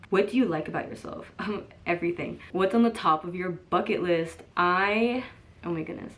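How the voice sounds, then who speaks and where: A young woman in her twenties chatting casually to camera in a get-to-know-me vlog, full of "like" and "um" and quick laughs. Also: on the low side, a bit bright. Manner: chatty, lots of "like" and "um", laughs easily. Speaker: vlogger.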